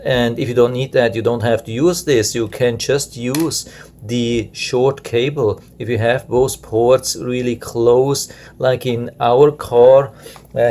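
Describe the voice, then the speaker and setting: A man gives a casual, hands-on product review in a mid-pitched voice. His delivery is fairly even and unadorned as he explains each step plainly. Some background noise sits behind his voice.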